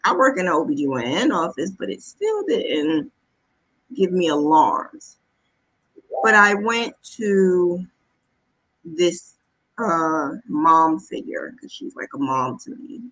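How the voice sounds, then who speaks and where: A woman's voice: on the low side, big pitch swings, takes it slowly, a bit bright, poor audio. A woman vlogger telling a personal story in a casual, conversational way, often backtracking as she goes. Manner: conversational, backtracking, acts out quoted dialogue.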